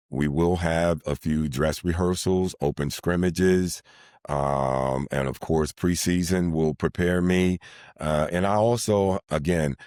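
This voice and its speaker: Very deep-voiced middle-aged man. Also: darkish tone, no room sound, studio quality. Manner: deliberate, wordy, formal phrasing. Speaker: sports arena announcer being interviewed. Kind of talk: measured, formal interview talk.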